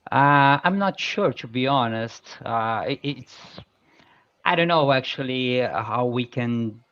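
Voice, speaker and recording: A man's voice: medium pitch, expressive, measured pace, a bit bright, smooth, dull audio. A man from Brazil with a Brazilian Portuguese accent, chatting casually as a guest and searching for words as he goes. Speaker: guest speaker. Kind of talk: casual video-call chat.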